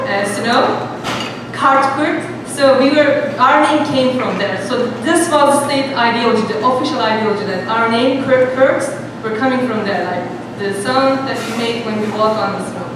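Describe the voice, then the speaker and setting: A woman speaks to a gathering in halting, English. Her voice is on the high side and sounds far from the mic, in a very echoey space. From eastern Turkey. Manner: halting, searching for words in non-native English. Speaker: guest speaker. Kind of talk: informal public talk. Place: indoor public event at a bookstore. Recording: echoey hall from far off, clear background noise.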